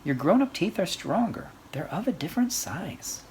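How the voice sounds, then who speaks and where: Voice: medium pitch, very animated, normal speed, a little mellow, pitched above the performer's own voice. A storyteller voicing a wise bunny mother in a children's storybook, soothing and encouraging. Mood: gentle, encouraging. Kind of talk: children's storybook read aloud. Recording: faint background noise.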